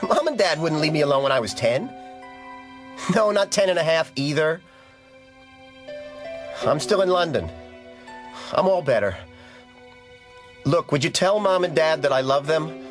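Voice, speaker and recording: High-voiced, anxious young American man. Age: young adult. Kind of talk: audio horror drama acting. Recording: music behind the voice.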